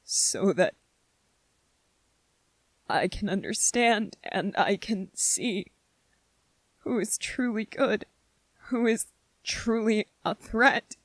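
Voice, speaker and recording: A woman playing an anxious, self-doubting, gentle young male soldier in a scripted ASMR roleplay monologue, speaking softly and haltingly with a husky voice. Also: mid-range voice, no echo. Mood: bitter, wounded. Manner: hesitant, halting, with repeated phrases and pauses.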